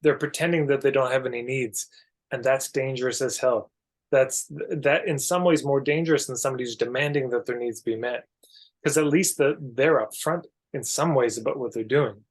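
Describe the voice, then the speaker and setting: A man's voice: mid-range voice, moderate pace. Man explaining ideas as a podcast guest. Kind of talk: reflective conversational explanation.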